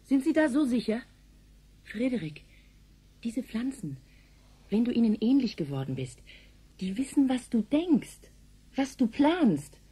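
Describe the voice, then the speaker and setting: A grave woman scientist in a radio play, her fairly high voice softened and a little husky. She presses her warnings with brooding urgency and insistence. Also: very animated, a little slow, long pauses, exaggerated voice. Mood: dark foreboding. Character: grave, alarmed woman researcher warning of vengeful plants. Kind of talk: 1980s German radio drama acting.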